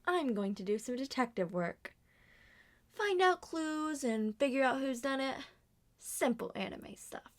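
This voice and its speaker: Woman, ASMR roleplay lead; slow, gentle delivery, higher voice, moves between whisper and soft speech. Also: lively intonation. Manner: soft-spoken, drifts between whispers and normal voice. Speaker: ASMR roleplay creator voicing all characters. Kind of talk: multi-character ASMR roleplay.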